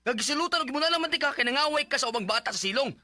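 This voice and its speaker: A man's voice: extremely high pitch, lively intonation, rapid-fire, sharp tone, exaggerated voice. A man playing a strict, short-tempered, aggrieved stepfather in a radio drama. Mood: angry scolding. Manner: scolding, emphatic. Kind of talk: Philippine radio drama acting.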